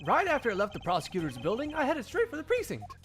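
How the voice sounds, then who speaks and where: Man doing a high-pitched smug rival prosecutor. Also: huge pitch swings, fast talker, a bit bright, soft background music, heavily acted. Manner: theatrical, mocking. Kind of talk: amateur game fandub.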